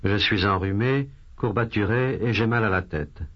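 A patient with a cold, read by a man for a language lesson, in a lowish, husky voice. He says each line slowly and carefully, then repeats it. The recording is muffled and lo-fi. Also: natural voice. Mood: plaintive. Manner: slow, careful, repeated phrases. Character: adult male patient with a cold. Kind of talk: scripted language drill dialogue. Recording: dull lo-fi course tape.

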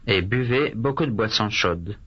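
A man voicing a calm doctor in a language course drill, speaking slowly and clearly, with repeated phrases. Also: fairly low pitch. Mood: measured. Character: calm adult male doctor. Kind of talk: scripted language drill dialogue. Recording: dull lo-fi course tape.

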